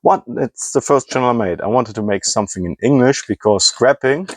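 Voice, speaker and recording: A man's voice: mid-range voice, fairly quick, dry recording. A man from Germany who speaks English as a second language with a German accent, rambling through a casual vlog and often stopping to search for words and correct himself. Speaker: scrapping hobbyist.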